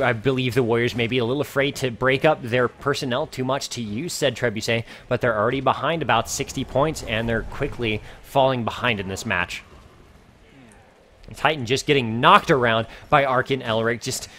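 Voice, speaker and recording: A man casting a live video game match, energetic and climbing in pitch and volume as the fights heat up. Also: a little hiss or hum. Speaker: esports caster. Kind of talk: play-by-play game commentary.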